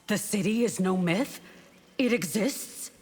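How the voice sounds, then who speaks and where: An actress playing a proud, loyal and devout royal bodyguard in a video game, composed, formal and stern. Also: female voice, medium pitch, takes it slowly, slightly rough. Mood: composed, firm. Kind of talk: video game character acting. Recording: long reverb with faint noise.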